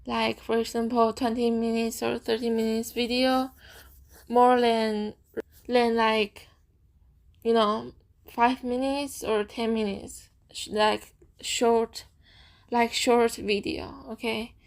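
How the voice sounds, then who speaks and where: A young woman, a student whose first language is Chinese, chatting casually in halting English, often checking in with "okay?" and laughing softly. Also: on the high side, level tone, vocal fry. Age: young adult. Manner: hesitant, halting non-native English, frequent "okay?" tags; slow, thoughtful, giggles often. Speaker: student vlogger and podcaster. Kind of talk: casual chat to camera.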